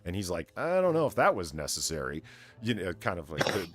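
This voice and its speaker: A man hosting a livestream, chatting casually and profanely, with a rambling, halting way of telling things. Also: deep voice, wildly swooping pitch. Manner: rambling, halting, stop-and-start storytelling. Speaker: show host reading out donations.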